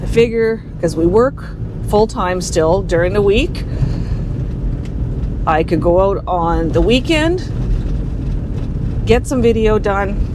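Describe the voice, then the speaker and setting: Casting: middle-aged Canadian travel vlogger, mid-pitched, candid and wry, casual narration recorded amid clear vehicle noise. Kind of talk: casual vlog narration, thinking aloud. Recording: noisy, vehicle and outdoor rumble.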